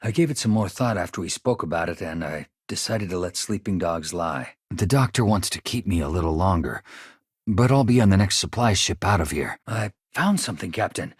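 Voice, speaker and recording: Earnest game spaceship engineer, low-pitched, rough-edged husky voice, measured, sincere, shaken by trauma. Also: male voice, animated, dry recording, studio quality. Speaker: video game companion character. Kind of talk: naturalistic game dialogue acting.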